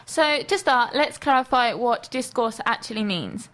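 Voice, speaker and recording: A woman's voice: a little high, expressive, measured pace, a bit bright. A young woman, a student presenter, carefully explaining ideas from a script on a student radio show, with frequent ums. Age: young adult.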